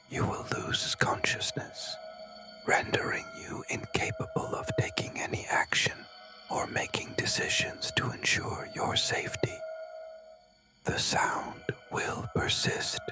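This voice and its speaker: A man narrating scripted horror warnings in a breathy whisper, deliberate and ominous. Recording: loud music over the voice. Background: loud music.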